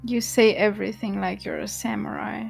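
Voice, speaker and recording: Giggly teasing woman with gravelly fry. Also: mid-range voice, fairly even intonation, normal speed, faint music behind. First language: Romanian. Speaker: streamer co-host. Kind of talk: casual stream chat.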